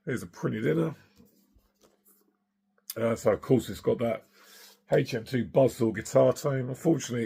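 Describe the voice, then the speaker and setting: Hoarse middle-aged guy, croaky gravelly voice, chatty and keen, lots of ums. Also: medium pitch, mellow. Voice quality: gravelly, husky. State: hoarse from singing. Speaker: vinyl collector vlogger. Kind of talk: casual record collection show-and-tell.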